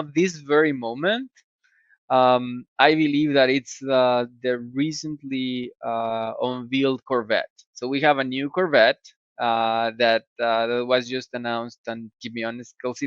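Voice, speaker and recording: A gravelly-voiced man with a Mexican Spanish accent answers questions in English, stopping and restarting his phrases often. He sounds like he is in his forties. His voice comes over a dry, somewhat muffled video call. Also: mid-range voice, fairly bright tone, no room sound. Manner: halting, many fillers and restarts. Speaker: guest, computer scientist. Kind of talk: casual interview answers. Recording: slightly dull video call audio.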